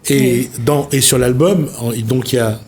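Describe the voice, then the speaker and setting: Male TV host, mid-pitched, meandering, thinks aloud, light studio hum. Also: steady tone, normal speed, a little mellow. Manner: meandering, searching for words. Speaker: TV show host. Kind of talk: reflective studio talk.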